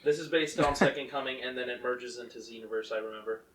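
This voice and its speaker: A man's voice: medium pitch, fast talker, a bit bright, echoey room, recorded from a distance. A man chatting casually as a co-author, interjecting with explanations and questions about his own story. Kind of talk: casual chat.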